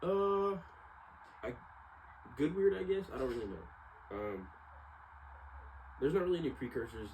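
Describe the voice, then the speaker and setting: A man's voice: medium pitch, expressive, unhurried, long pauses, darkish tone, poor audio. A man talking casually to viewers at home in a rambling, slangy, hesitant and reflective personal monologue. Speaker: vlogger. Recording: clearly audible background noise.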